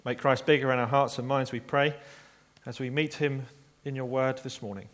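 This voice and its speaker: A man preaching a sermon, telling a story earnestly and slowing down quietly for emphasis. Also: mid-range voice, fairly even intonation.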